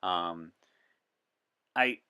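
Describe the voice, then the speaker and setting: A man's voice: low-pitched, drawn-out words, sharp tone, smooth. A man speaking as an interview guest, explaining things in an articulate, erudite way. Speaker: podcast guest in the persona of a time traveler from 1964. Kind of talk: in-character interview talk on science fiction and current events.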